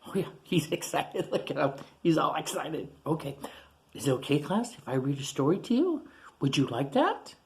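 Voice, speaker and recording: A man who teaches young children, reading a picture book aloud in a playful, animated way with gasps and chatty asides. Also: on the high side, moderate pace. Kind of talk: storybook read-aloud for children.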